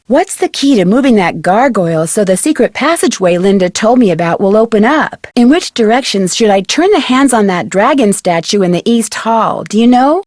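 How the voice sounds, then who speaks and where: A woman playing a curious, polite young female detective in scripted video game dialogue, clearly enunciated and inquisitive. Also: medium pitch, a little mellow, no echo, studio quality, natural voice. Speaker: voice actor playing a game's lead character.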